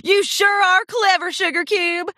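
A woman's voice: very high voice, steady tone, measured pace, pushed and bright, no echo, studio quality, pitched above the performer's own voice, full character voice. A woman voicing a folksy, straight-talking female farm pony in a children's story, hearty and blunt. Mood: admiring.

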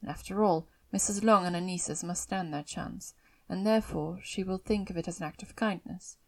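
A wry, unruffled gentleman father voiced by a woman narrator in a classic novel audiobook. He teases with formal, measured irony and never hurries to the point. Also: lowish voice, vocal fry. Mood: ironic. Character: dry, teasing middle-aged father, wry and unruffled gentleman.

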